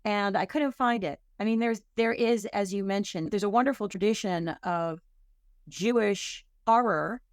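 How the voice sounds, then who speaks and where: A woman being interviewed as a guest author, talking thoughtfully and often pausing to restart a sentence. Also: mid-range voice, no echo, studio quality. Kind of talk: casual interview talk.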